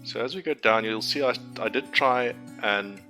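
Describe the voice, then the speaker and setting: A man's voice: mid-range voice, moderate pace, pushed and bright. A man from Cape Town with a South African accent, whose first language is Afrikaans, giving a casual, hesitant walkthrough of his game map with lots of ums and restarts. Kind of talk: casual screen-share walkthrough. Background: background music.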